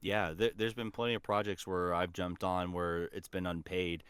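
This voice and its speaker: Man, smooth low voice, enthusiastic, informal podcast guest, dry close sound. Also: level tone, moderate pace, a bit bright, dry recording. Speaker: guest, voice actor. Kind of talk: casual podcast chat.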